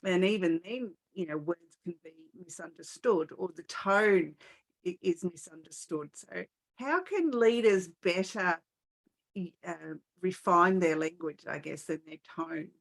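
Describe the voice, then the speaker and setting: A woman with a voice a little lower than most hosts a podcast interview. She speaks conversationally, feeling her way through each thought with tentative phrasing before reaching her question. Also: unhurried, gravelly.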